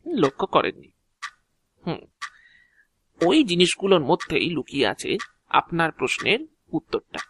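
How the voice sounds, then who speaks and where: Male actor as a courteous death messenger, audio drama, very animated pitch, slow deliberate delivery, faintly amused, bureaucratic. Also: slightly rough, exaggerated voice. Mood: composed, cryptic. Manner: measured, theatrical, explanatory, with deliberate pauses. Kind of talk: Bengali audio drama acting.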